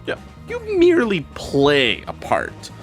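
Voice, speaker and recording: A man's voice: fairly high pitch, wildly swooping pitch, takes it slowly, soft background music. A man trading playful, competitive banter as a game show contestant, teasing and mock indignant. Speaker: writer, trivia contestant.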